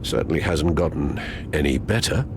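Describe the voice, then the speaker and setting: A man playing a loyal, formal older butler, measured and reassuring, in video game dialogue. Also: extremely low pitch, noisy background. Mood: concerned, supportive.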